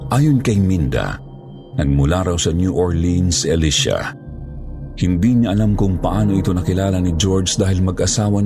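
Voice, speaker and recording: A man with an extremely low voice narrates a horror story. His delivery is dramatic and scripted, with faint music underneath. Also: darkish tone.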